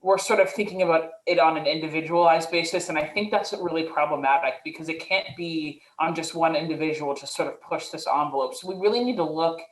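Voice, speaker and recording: A woman's voice: low voice, fairly quick, few pauses, reverberant, low-quality recording. A woman speaking thoughtfully as a panelist in a webinar discussion, often hedging with "sort of" and "like.". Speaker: panelist, mental health professional.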